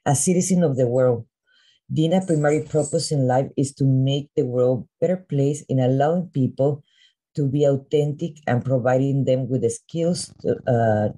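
A woman hosting a podcast, introducing her guest at an unhurried pace with small restarts as she searches for words. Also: rumbling low, mellow, husky. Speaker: podcast host. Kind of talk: warm spoken podcast introduction.

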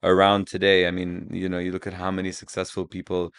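A man in his thirties who grew up in Saudi Arabia, talking as a guest in a casual interview, halting with many restarts and fillers. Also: deep voice, completely flat delivery, fairly bright tone, smooth. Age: 30s. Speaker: guest, agency founder.

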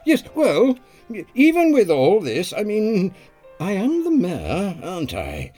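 Dithering British village mayor, swooping pitch. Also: male voice, huge pitch swings, slow, dark tone, breathy edge, faint music behind, full character voice. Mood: hesitant, fussy. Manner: hesitant, fussy, trailing questions. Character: dithering, easily swayed, childishly vague village mayor. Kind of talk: audio sitcom acting.

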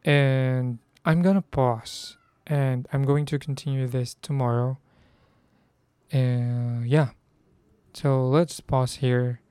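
A hoarse young man from the Philippines, whose first language is Filipino, chatting casually about learning Korean and stopping to restart his sentences. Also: mid-range voice, fairly even intonation, slow, dark tone, dry recording. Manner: chatty, self-correcting, reads phrases aloud.

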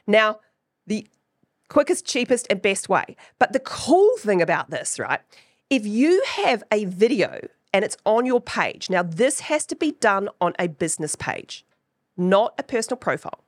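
A woman's voice: medium pitch, very animated, measured pace, no room sound, studio quality. A woman with a New Zealand accent hosting her own podcast, teaching in a chatty way and often checking in with "right?". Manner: chatty, keeps asking "right?". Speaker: podcast host.

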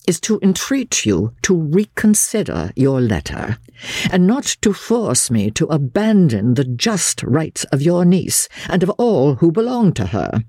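A man's voice: on the high side, huge pitch swings, unhurried, dark tone, husky, no room sound, clean studio sound, voice pushed up, full character voice. A man voicing a stern, self-controlled but exasperated lawyer in an audiobook, speaking formally and insistently. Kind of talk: dramatised audiobook character voice.

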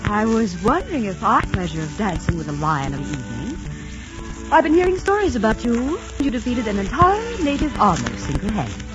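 An actress playing a lovestruck young woman in a 1940s radio drama, soft and wistful, sometimes pleading. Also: a little high, huge pitch swings, fairly quick. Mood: teasing. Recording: muffled old radio broadcast with loud music.

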